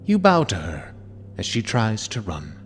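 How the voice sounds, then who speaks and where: A man giving a theatrical, menacing dramatic reading of horror narration, deliberate and at times dropping into whispers. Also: on the low side, wildly swooping pitch, a little hiss or hum. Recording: long reverb over music. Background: music.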